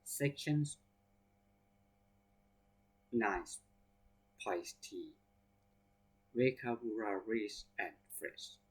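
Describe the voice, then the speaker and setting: Male English teacher, Thai accent, careful word-by-word delivery that spells out letters, very slow pace. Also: fairly low pitch, animated, slightly rough, poor audio. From Thailand. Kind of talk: reading vocabulary examples aloud.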